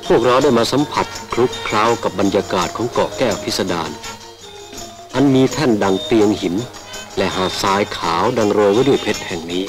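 A man's voice: on the low side, a little fast. A man reading scripted, ceremonial documentary narration on a vintage film soundtrack. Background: music.

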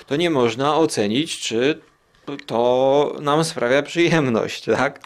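A man hosting a podcast solo in a casual, rambling, conversational way, often correcting himself. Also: medium pitch. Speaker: podcast host. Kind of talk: casual solo podcast talk with poem reading.

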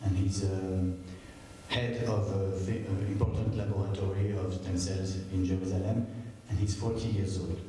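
A man in his fifties speaks English with a French accent, halting and earnest, with plenty of ums. His voice is low and mellow. It echoes through a large hall and sounds far from the mic. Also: little pitch movement, slight background noise. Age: 50s. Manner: hesitant, many fillers, earnest. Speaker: moderator. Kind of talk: public stage conversation. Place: large theater stage. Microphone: handheld mic.

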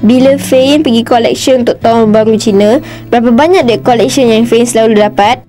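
A woman plays a little cartoon boy with a very high voice. He is cheeky, grabby and bluntly nosy, with faint music behind him. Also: brisk, dark tone, close to an everyday voice. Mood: inquisitive. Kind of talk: cartoon voice acting.

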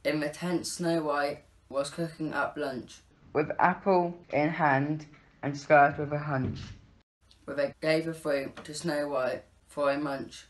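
Slow-reading young male narrator. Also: a little high, flat delivery, poor audio. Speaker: pantomime narrator. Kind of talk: scripted rhyming story narration, read aloud.

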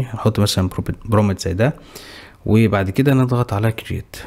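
A young man with an Egyptian Arabic accent who hosts a tech channel. He briskly talks viewers through a website, explaining each step and click as he goes. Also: on the low side, warm tone. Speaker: tech tutorial host. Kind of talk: screen-recorded software tutorial.

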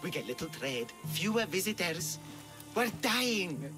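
A melodramatic, cowardly villager from a video game who dreams of being a hero. His voice sits high and swings wildly up and down. He is excitable, bumbling and full of self-pity, with music playing behind him. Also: a little slow. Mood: dejected, confessing. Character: hapless, melodramatic, cowardly male villager posing as Minotaur slayer. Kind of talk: video game character acting.

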